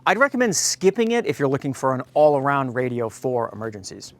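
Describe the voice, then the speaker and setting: A man's voice: mid-range voice, big pitch swings, brisk. An American man hosting a product review, speaking to viewers in a blunt, opinionated way. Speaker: host of a radio review.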